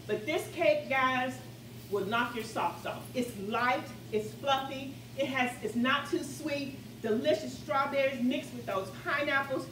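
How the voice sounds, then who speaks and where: A chatty middle-aged woman hosts a casual home cooking demo, her voice sitting a touch high. She keeps turning to viewers with "guys" and "okay?". The sound comes from a distance across a large, echoey kitchen, with a noisy background. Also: measured pace. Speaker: cooking show host. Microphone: camera mic across the kitchen.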